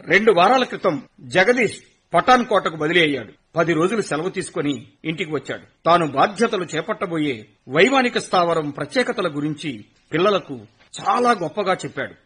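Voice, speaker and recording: Husky-voiced male radio narrator. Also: fairly high pitch, lively intonation, brisk, a bit bright.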